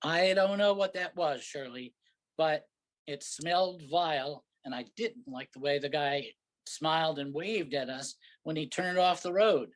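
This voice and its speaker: A man's voice: a little high, measured pace, close to an everyday voice. A man playing an impatient, grumbling New York husband lost in the countryside, in a staged reading of a comic play. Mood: irritated. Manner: sarcastic, grumbling.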